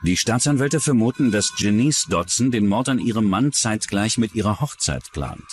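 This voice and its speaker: A man narrating a scripted true-crime documentary in a measured, dramatic storytelling manner. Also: fairly low pitch, animated, soft background music.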